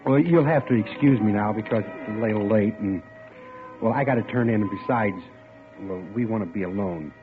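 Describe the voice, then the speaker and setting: A man's voice: on the low side, fairly quick, close to an everyday voice. An actor playing a shy, lovesick young man in a 1940s radio play, stammering and flustered. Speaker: dramatic lead, radio play star. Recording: tinny old radio broadcast with music.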